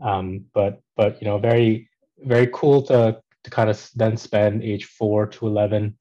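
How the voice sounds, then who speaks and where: A man from Pakistan in his thirties, a podcast guest telling his life story in a relaxed, casual way with many ums and restarts. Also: on the low side, moderate pace, lo-fi sound. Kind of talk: casual conversational interview.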